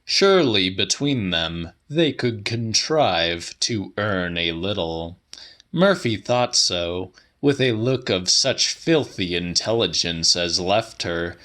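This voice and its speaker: A man narrating a literary audiobook in a dramatic, theatrical, deliberate manner. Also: low voice, expressive, sharp tone.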